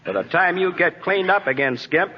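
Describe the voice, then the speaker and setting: An actor playing an unhurried, deadpan American bandleader wisecracking in a 1940s radio comedy. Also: male voice, sits high. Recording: muffled, noisy 1940s radio broadcast.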